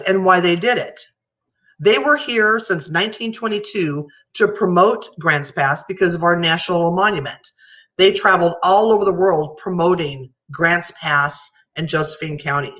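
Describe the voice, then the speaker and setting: A middle-aged American woman speaks as a candidate at a public forum, telling her own story in a chatty way with lively asides. Part of it she reads aloud. Her voice is bright and forward. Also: on the low side, a little slow. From United States. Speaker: mayoral candidate. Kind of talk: candidate forum speech, partly read.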